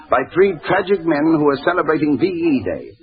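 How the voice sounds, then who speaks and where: An actor playing a grim, commanding police inspector haunted by guilt, clipped and brooding, in a 1940s radio drama. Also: male voice, a little high, sing-song, a little fast, slightly rough, heavily acted. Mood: cold, commanding. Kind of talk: 1940s radio drama acting with scripted first-person narration. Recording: dull 1940s radio recording, music behind.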